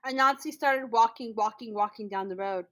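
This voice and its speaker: Female school storyteller, high-pitched, expressive picture-book reading with emphatic, drawn-out repeated words. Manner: emphatic, with drawn-out repetitions for effect. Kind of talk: expressive children's picture-book read-aloud.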